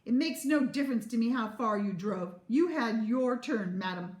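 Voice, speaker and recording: A woman voicing a rude, gloating, childishly squabbling husband and father in a storybook reading, loud and petulant. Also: a little high, expressive, normal speed, natural voice. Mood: disagreeable. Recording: roomy, echoing room sound.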